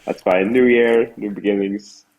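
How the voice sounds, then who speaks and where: Male podcast guest, low voice, native Norwegian with matching accent, relaxed informal conversation. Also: a little slow, poor audio. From Norway. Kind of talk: casual chat.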